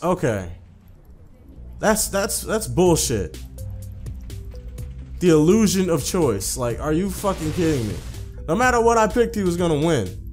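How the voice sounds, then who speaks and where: A man doing a casual let's play, swearing and repeating himself in slang as he comments and reads game text aloud. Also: fairly high pitch. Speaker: gaming video host.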